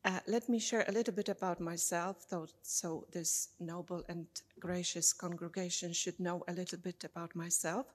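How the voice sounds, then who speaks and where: A middle-aged woman from Poland, giving a measured, formal prepared reading to a church congregation as lay reader, slowing down carefully over names and dates. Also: fairly low pitch, steady tone. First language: Polish. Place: church sanctuary. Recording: long church reverb.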